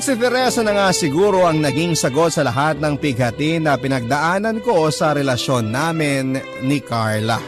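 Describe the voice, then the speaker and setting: A man reading a first-person letter aloud in a reflective, heartfelt manner. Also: fairly high pitch. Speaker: letter narrator. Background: violin music.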